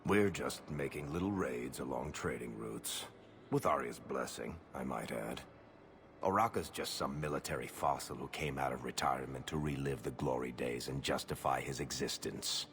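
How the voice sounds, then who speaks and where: A smug mercenary leader in a sci-fi game. His voice is rock-bottom deep and full of gravel. He talks with a mocking sneer over faint background noise. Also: normal speed, fairly bright tone. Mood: dismissive. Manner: sneering, smooth-talking, mocking. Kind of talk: video game acting.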